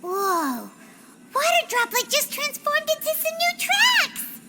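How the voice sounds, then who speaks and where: A woman voicing a small, excitable, childlike fairy companion in a video game, bubbly and exclamatory. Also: very high voice, takes it slowly, exaggerated voice. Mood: amazed. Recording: loud music behind the voice.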